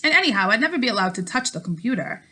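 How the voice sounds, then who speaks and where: A woman's voice: fairly high pitch, very animated, racing, bright, forceful tone, full character voice. A woman voicing a timid, sheltered farm boy in a storybook, hesitant and a little defensive. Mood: earnest, protesting.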